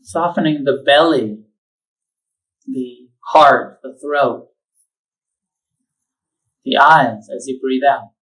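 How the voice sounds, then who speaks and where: A man leading a guided meditation, giving slow, calm instructions with long pauses. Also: medium pitch, recorded from a distance, low-quality recording. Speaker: meditation teacher.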